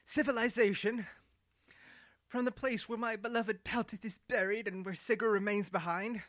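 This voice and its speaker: Guy putting on a scornful proud woman, higher voice, dry sound. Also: fairly even intonation, moderate pace, fairly bright tone, dry recording. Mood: defiant. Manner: scornful, exasperated.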